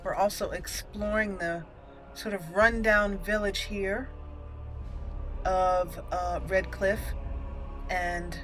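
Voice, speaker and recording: A woman's voice: medium pitch, fairly even intonation, slow pace, pauses often, a bit bright, soft background music. A woman hosting a roleplay let's play, chatty and playful, thinking aloud as she comments on the game. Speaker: YouTube gaming host.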